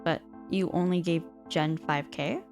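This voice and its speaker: An actress playing a detective's sharp-witted female partner in a radio drama, with a teasing, confident manner. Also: low-pitched, steady tone, unhurried, faint music behind.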